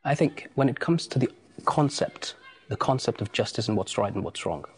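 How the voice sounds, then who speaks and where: A man's voice: mid-range voice, expressive, moderate pace, husky. A man in his thirties from England, answering interview questions earnestly and insistently, often restarting his phrases.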